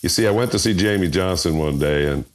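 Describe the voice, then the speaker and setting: Older man, bandleader, very deep bass voice, chuckling, rambling interview chat. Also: fast talker.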